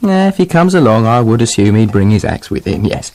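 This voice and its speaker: Animated, bossy British storyteller. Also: male voice, lowish voice, a little fast. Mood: insistent. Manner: exasperated, blunt, bickering. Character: bossy, short-tempered, foul-mouthed fairy-tale writer. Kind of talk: comic radio play acting.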